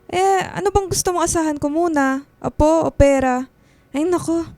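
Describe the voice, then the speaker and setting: A woman's voice: sits high, normal speed, darkish tone, no room sound. A woman playing a nagging, demanding middle-aged Filipina mother in a radio drama, scolding with exasperated sighs.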